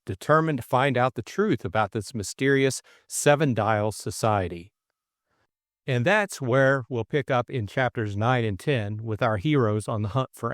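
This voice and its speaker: A man reading expressive scripted narration as an audiobook narrator. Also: mid-range voice, dry recording, studio quality.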